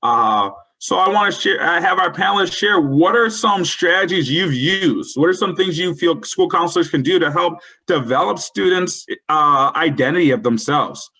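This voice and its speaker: A man leads an educator webinar with a bright, forward tone. His voice has a slightly husky edge, and he sits a little above the usual male pitch. He talks in an earnest, coaching way. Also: expressive, moderate pace, few pauses. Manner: earnest, coaching, quotes example phrases. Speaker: webinar presenter. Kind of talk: presenting a professional development webinar.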